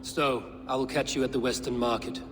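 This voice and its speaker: A man playing a grim, resolute Viking warrior in a video game cutscene, speaking in measured, terse, formal phrases. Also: medium pitch, little pitch movement, big-room sound, lightly acted. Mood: grim, determined. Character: grim, resolute male Viking warrior hunting a secret order.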